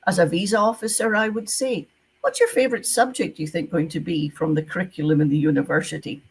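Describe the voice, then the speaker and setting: A woman's voice: lowish voice, breathy edge, rough recording. A retired woman from Scotland with a Scottish accent, a former visa officer giving emphatic advice in an interview over a video call. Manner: emphatic, repeats key advice.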